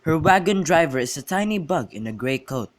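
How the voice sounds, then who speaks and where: A young man in an amateur radio drama, playing a witty, teasing young gentleman and declaiming in a bantering way. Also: a little high, lively intonation, fairly quick, close to an everyday voice. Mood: fanciful storytelling. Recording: clearly noisy amateur recording with clicks.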